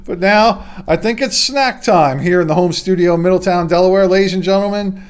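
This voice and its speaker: A middle-aged man with a hoarse voice, hosting a casual sports roundup from a home studio, with rambling, self-deprecating asides. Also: high-pitched, fairly quick. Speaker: sports podcast host.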